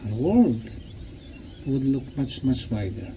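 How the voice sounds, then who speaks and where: Slow, deliberate man giving a public talk. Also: medium pitch. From Bosnia. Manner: deliberate, short emphatic phrases. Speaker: lecturer. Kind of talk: public talk paused for interpretation. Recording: clear steady background noise.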